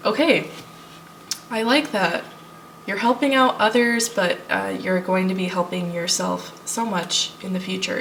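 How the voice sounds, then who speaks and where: A woman with a stuffy cold, reading tarot cards for viewers in a relaxed, reassuring way. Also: on the low side, distant mic, slight background noise, poor audio. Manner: thinking aloud, reassuring, addresses viewers as piles. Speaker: tarot reader. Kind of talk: pick-a-card tarot reading.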